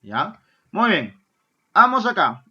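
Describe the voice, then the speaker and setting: A male math teacher gives a lively class. He keeps checking in with his students. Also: high-pitched, takes it slowly, highs cut off, a crowd in the background. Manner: lively, asks "¿ya?" and "¿correcto?" often, answers his own imagined student questions. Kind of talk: online math lesson.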